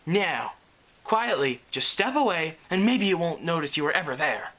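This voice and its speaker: Casual: freaked-out pony stall lady, quavery and shrill, bright tone, the reader putting it on. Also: very animated, moderate pace. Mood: fearful. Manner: shrill, tremulous, melodramatic. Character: frantic adult unicorn mare stallkeeper.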